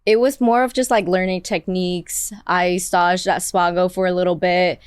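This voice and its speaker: Chatty Vietnamese-born woman chef, smooth voice. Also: lowish voice, steady tone, normal speed, dry recording, clean studio sound. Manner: chatty, many "like" fillers. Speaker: chef guest. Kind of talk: casual interview chat.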